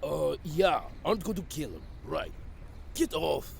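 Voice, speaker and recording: A burly, irritable older man. The delivery is curt and grumbling, swinging hugely in pitch from mutter to bark. A faint noise sits under this home-recorded comic dub. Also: sing-song, a little slow, creaky, heavily acted. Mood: irritated. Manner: curt, grumbling, broken English. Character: gruff burly one-eyed older male mechanic, irritable. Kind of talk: fan dub comic voice acting.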